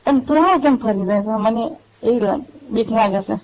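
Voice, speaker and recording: A woman's voice: on the high side, lively intonation, normal speed, darkish tone. A woman playing an anxious middle-aged married woman pleading with her young lover, hesitant and repetitive, in a staged phone-call drama. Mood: pleading, reassuring. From India. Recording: dull lo-fi phone call recording.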